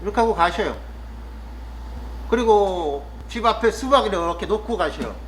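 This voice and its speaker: A man from Korea's Chungcheong region talking casually and reflectively to the camera, pausing often with fillers as he muses. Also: sits high, expressive, fairly bright tone, a little hiss or hum, poor audio. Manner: thoughtful, musing, with frequent pauses and fillers. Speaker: vlogger living in the countryside.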